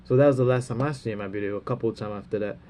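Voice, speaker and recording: A man with a stuffy, runny nose giving a rambling spiritual talk, halting and full of fillers, often ending phrases with "man". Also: lowish voice, mellow, a little hiss or hum. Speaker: religious speaker.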